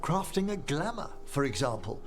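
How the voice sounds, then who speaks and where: An actor playing a courteous, genteel middle-aged necromancer in a fantasy video game, speaking formally and earnestly. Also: male voice, on the high side, big pitch swings, moderate pace, over music.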